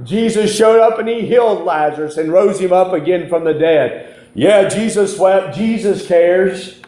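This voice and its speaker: A man's voice: sits high, unhurried, dark tone. A man preaching a fervent evangelical sermon, emphatic and repetitive as he exhorts his listeners. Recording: echoey room.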